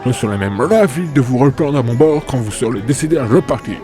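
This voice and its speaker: A man's voice: medium pitch, lively intonation, brisk, natural voice. A man playing a hearty, jovial ship captain in an audio comedy adventure, speaking emphatically. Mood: hearty, grateful. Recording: voice under prominent music.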